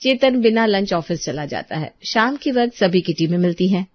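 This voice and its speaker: A woman's voice: medium pitch, expressive, moderate pace, dry recording, lo-fi sound. A woman storyteller reading scripted narration for an audio drama.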